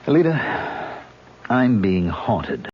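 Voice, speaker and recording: A haunted, anxious man in a vintage radio mystery. His lowish voice rises and falls restlessly as he seeks help. The sound is dull, with faint noise behind it. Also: big pitch swings, extremely slow, heavily acted. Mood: desperate. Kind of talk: old-time radio drama acting. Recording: muffled, noisy old radio recording.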